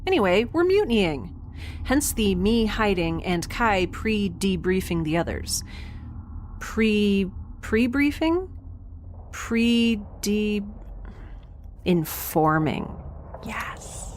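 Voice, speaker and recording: A woman's voice: medium pitch, animated, slow, long pauses, a little hiss or hum. A woman playing an anxious, wry young space castaway recording an audio drama log, confiding and hesitant as she corrects herself. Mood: wry, flustered. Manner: hesitant, self-correcting, rambling, dryly wry. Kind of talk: audio drama monologue, confiding diary narration.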